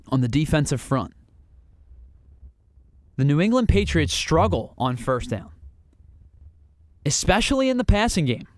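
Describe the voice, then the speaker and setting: A man's voice: fairly high pitch, no room sound, clean studio sound. A man hosting a sports podcast, delivering an emphatic solo analysis that builds with rhetorical questions. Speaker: podcast host.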